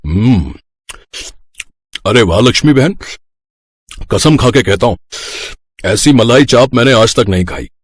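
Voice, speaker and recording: A man plays a middle-aged merchant from a storybook, gruff on top and kindly underneath. He talks plainly, like an elder brother, at a medium male pitch. The recording is close and dry. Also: lively intonation, a little slow, long pauses, dry recording. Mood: warmly impressed. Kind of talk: acted dialogue in a children's moral story.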